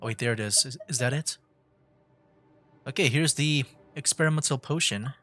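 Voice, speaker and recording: A man's voice: mid-range voice, moderate pace, fairly bright tone. A man livestreaming a game with casual, laid-back commentary, thinking aloud as he plays. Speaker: game streamer. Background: game music.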